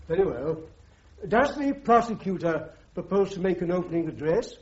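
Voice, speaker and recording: On a dull radio drama recording, a British military court official. His voice is notably high for a man, and he questions and advises with formal, measured courtesy. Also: expressive. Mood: courteous, formal.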